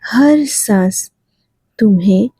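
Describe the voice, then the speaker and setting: A woman guiding a sleep meditation in a soothing, gentle manner, leaving long pauses between short phrases. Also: medium pitch, animated, breathy edge, no echo. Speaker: guided meditation instructor. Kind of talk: guided sleep meditation, yoga nidra narration.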